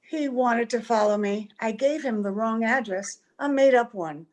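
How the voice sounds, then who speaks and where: An actress playing a gentle, wistful and strange young woman in an old-time radio drama, tender and earnest. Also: a little high, normal speed, natural voice.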